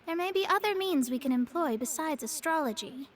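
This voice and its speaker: A woman voicing a proud young female astrologer, measured and slightly haughty, in video game dialogue. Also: high-pitched, animated. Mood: poised.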